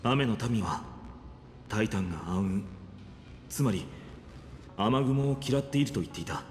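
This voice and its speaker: A man playing a thoughtful young man, very fast syllables. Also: on the low side, lively intonation, slight background noise, lightly acted. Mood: uneasy, reasoning. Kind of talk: Japanese game voice acting.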